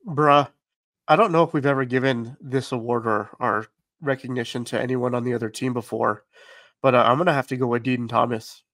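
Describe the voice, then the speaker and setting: Even-toned young man talking sports. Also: mid-range voice, quick pace, no echo. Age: young adult. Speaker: sports podcast panelist. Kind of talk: casual sports analysis. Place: indoors at home. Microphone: close podcast mic.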